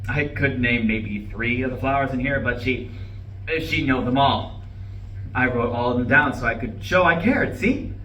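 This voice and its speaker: A man acts out an earnest office-worker husband in a stage play, eagerly explaining himself and faltering as he goes. His voice is bright and forward. It is picked up from a distance in an echoey room with faint noise. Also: medium pitch, moderate pace, lightly acted. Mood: hopeful, chatty. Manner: hesitant, eager, over-explaining. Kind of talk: live stage play reading.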